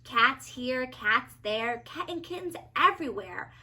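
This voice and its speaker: A woman narrating a children's storybook as a teacher, with expressive, dramatic emphasis. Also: sits high, a little slow.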